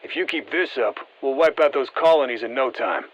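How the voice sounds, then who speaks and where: A man plays a seasoned special-forces operator from a video game, giving mission guidance in terse, matter-of-fact phrases. He sounds steady and encouraging, with a slightly dull edge to the audio. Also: medium pitch, a little fast, close to an everyday voice. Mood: focused, encouraging. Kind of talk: scripted video game voice lines.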